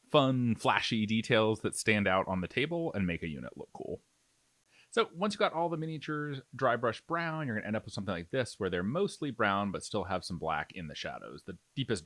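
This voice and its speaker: A man's voice: lowish voice, fairly bright tone, no echo. A man giving a casual, explanatory step-by-step tutorial voice-over in an informal way. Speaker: miniature painting tutorial host.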